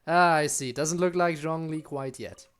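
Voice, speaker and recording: A man's voice: on the high side, normal speed, a bit bright, clear, resonant tone. A man streaming a game, giving casual, wry commentary and musing aloud as he plays. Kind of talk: casual live gameplay commentary.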